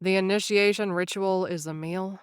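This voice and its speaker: A woman's voice: medium pitch, steady tone, moderate pace, clean tone, no echo, studio quality, lightly acted. A young woman voicing a sarcastic, nervous influencer who narrates her story straight to the listener in an audio drama.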